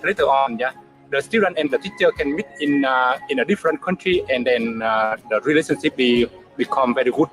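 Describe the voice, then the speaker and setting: A man talking in a halting interview manner, full of fillers, about meeting his students. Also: mid-range voice, music in the background, poor audio. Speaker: language teacher.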